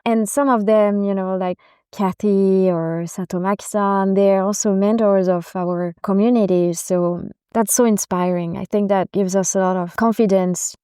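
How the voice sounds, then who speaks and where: Smooth, mellow-voiced woman guest. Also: medium pitch, fairly even intonation, no echo, studio quality. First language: not Japanese. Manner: earnest, measured, warmly enthusiastic. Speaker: podcast guest, venture investor. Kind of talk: conversational interview answers.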